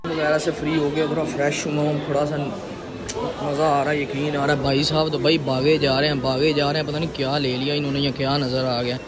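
A man's voice: on the high side, flat delivery, brisk, a loud crowd. A young man vlogging to a phone camera in a shopping mall, casual, joking and a little boastful. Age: young adult. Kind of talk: casual vlog chat to camera. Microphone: phone camera at arm's length.

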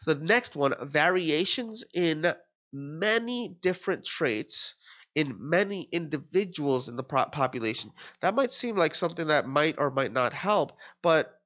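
A man's voice: a little high, lively intonation, a little slow, bright, forceful tone. A man in his thirties working through an exam question as a tutor, sounding nasal from a cold and thinking aloud with fillers and restarts. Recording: dull lo-fi screen recording.

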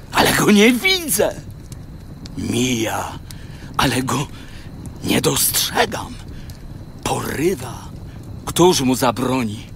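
A humbled, suffering man of faith in an audio Bible drama. He speaks slowly and haltingly, with solemn, dramatic weight, over faint ambient noise. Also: fairly high pitch. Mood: anguished, pleading. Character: Job, afflicted righteous man humbled before God's power.

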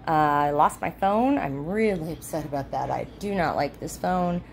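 Woman, thirties, gravelly lowish voice, rambling casual chat, phone held at arm's length, faint room noise. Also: animated, moderate pace. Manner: rambling, chatty, many ums and restarts. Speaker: vlogger. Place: motel room, indoors.

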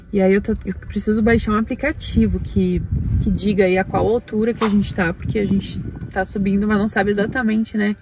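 A woman's voice: mid-range voice, a little mellow. A woman in her thirties with a southern Brazilian accent, chatting casually as a travel vlogger inside a small car. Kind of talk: casual chat to camera.

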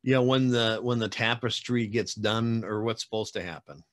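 A man in his forties with a lowish voice hosts a relaxed interview over a webcam call from his home room. He talks in a chatty, rambling way, full of fillers and light teasing. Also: moderate pace. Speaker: podcast host. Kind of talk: casual video-call interview. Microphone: webcam video call.

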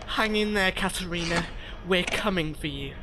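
A woman's voice: lowish voice, moderate pace, very noisy, lightly acted. A woman playing a worried, loyal sister in an audio drama. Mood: anxious, determined. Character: worried, loyal sister searching for her captive sibling.

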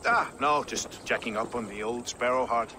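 A man's voice: medium pitch, moderate pace, background noise, lightly acted. A man playing a world-weary warrior in a video game, plain-spoken and a little wry. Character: grim, world-weary male Viking-age city warrior. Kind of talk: video game cutscene acting.

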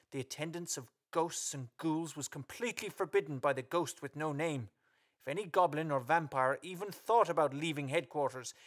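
A man's voice: fairly high pitch, fairly bright tone. A man reading scripted story narration for a radio play.

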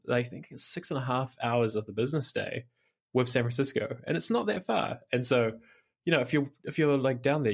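A man with a New Zealand accent, a podcast guest chatting casually on a call, laughing often and saying "like" a lot. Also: mid-range voice. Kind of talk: casual interview chat.

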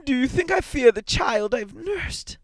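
Man voicing a frantic, shrill old woman. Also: fairly even intonation, normal speed, darkish tone, husky, no echo. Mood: feverish, pleading. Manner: wild, hysterical, breathless crying out. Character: frantic grieving old woman, feverish and desperate. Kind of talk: dramatic storybook character reading.

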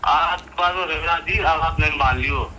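A man's voice: a little high, moderate pace, pushed and bright. A man with a Rajasthani accent arguing heatedly on the phone, insistent, repetitive and threatening. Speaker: retired soldier on a phone call. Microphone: telephone. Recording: noisy phone call recording.

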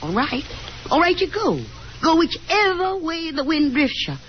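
A woman's voice: very high-pitched, sing-song, a little slow, heavily acted. An actress playing a fretful, nagging middle-aged Southern mother, fussy and insistent, in 1950s radio drama style. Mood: anxious, reproachful. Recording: dull, noisy 1950s radio broadcast.

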